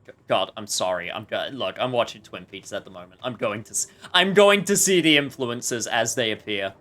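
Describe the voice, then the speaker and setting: A man's voice: mid-range voice, lively intonation, normal speed, fairly bright tone. A man doing casual, rambling, jokey live commentary while streaming a game.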